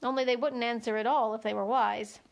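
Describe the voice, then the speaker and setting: A polite, thoughtful young storybook girl, played by a woman. Her voice is high, and she muses earnestly as if working things out. There is a slight anxious edge to her curiosity. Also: steady tone, a little fast, creaky, muffled recording. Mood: musing. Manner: musing, earnest. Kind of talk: children's storybook read-aloud.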